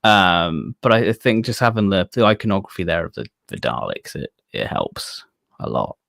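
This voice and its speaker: A man's voice: low voice, very animated, moderate pace, vocal fry, a bit bright, no room sound, clean studio sound. A man co-hosting a podcast in a casual, conversational fan chat. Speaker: podcast co-host.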